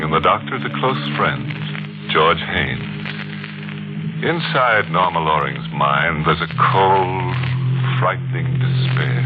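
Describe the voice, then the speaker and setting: A man's voice: deep voice, noisy background, exaggerated voice. An actor playing a ghoulish, darkly playful horror host, narrating 1940s radio in a sardonic, ominous manner and savoring his words. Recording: muffled old radio recording with organ music.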